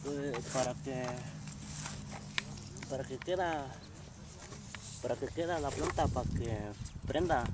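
A man's voice: mid-range voice, unhurried, pauses often. A man working in a vineyard gives brief, mumbled answers to questions while he works outdoors. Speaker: vineyard worker. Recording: distant outdoor recording with noise.

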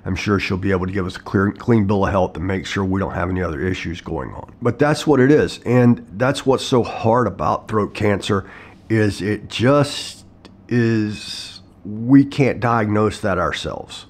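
A middle-aged man speaks with a hoarse, cold-roughened throat and a somewhat low voice. He talks plainly to a camera in his living room, pausing on ums and restarting sentences. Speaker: vlogger sharing his health story. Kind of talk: casual talk to camera. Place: living room at home, indoors.

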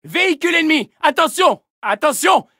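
Fierce french soldier in a war game, yelling urgent orders, really high strained voice, very dry. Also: male voice, big pitch swings, normal speed, no room sound, exaggerated voice. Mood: urgent, alarmed. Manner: urgent, barking, commanding. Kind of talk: video game battle voice lines.

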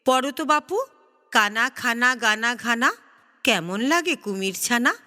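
A woman's voice: on the high side, lively intonation, unhurried, bright, forceful tone. A woman voicing a clever, sly male fox in a children's story, coaxing and mock-innocent, over music.

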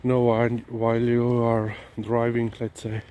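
A man talking haltingly on a dog walk outdoors, filling pauses with "you know" and "um". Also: medium pitch, monotone, dark tone. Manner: halting, many fillers and restarts. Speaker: dog-walking vlogger. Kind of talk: casual walk-and-talk monologue. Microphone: camera held low, walking. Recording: outdoor noise behind the voice.

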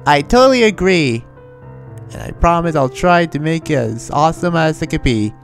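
A man's voice: a little high, expressive, soft background music. Rambling young demon slayer played by a man. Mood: friendly, awkward. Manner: rambling, halting, self-aware. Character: quirky young male demon slayer, friendly show-off. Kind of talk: improvised Minecraft anime roleplay.

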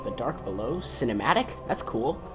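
A man's voice: medium pitch, very animated, normal speed, over music. Man commentating with a cold. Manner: casual, rambling, apologetic. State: has a cold, sore voice. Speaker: gameplay commentator. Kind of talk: let's play commentary. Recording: voice over loud game audio.

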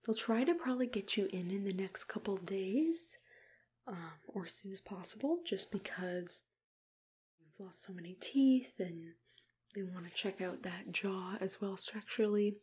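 A young woman doing an ASMR roleplay as a caring dentist, mostly whispering in a gentle, reassuring way, close to the microphone. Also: mid-range voice, normal speed, a little hiss or hum. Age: young adult. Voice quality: breathy, hushed, mostly whispered. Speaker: ASMR creator playing a dentist.

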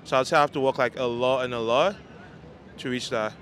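A man's voice: mid-range voice, a little fast, a bit bright, slight background noise. Frank nineteen-year-old man on a street. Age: teens. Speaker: passerby. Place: busy downtown street outdoors.

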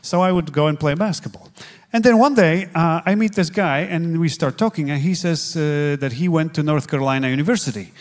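Polish-accented man, 40s, higher voice, earnest, halting storyteller with fillers, handheld stage mic. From Poland. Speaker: guest pastor. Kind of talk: telling his faith story on stage. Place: church stage, seated in armchair.

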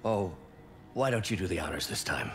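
An actor playing a weary, earnest middle-aged scientist mentor in a video game, measured and downcast. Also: male voice, deep voice, sing-song, a bit bright, soft background music, exaggerated voice. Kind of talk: cinematic video game acting.

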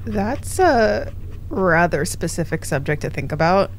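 A woman's voice: lowish voice, sing-song, unhurried, slight background noise. An actress playing a sardonic, blunt young woman, a skeptical sidekick in an audio drama. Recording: music behind the voice.

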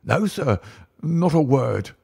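A man's voice: fairly high pitch, expressive, slow, gravelly, warm tone, breathy edge, pitched above the performer's own voice, full character voice. A man voicing a respectful male college servant who answers earnestly. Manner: earnest, deferential.

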